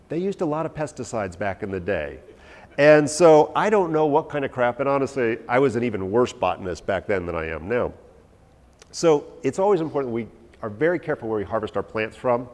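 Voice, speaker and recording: Brief: forties male herbalist, chatty self-deprecating lecturer, mid-pitched, echoing hall from afar. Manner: animated, anecdotal, self-deprecating, gesturing while talking. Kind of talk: informal talk to a live audience. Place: large hall with a stage, indoors. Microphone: no visible mic, far camera. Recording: hall reverb from far off.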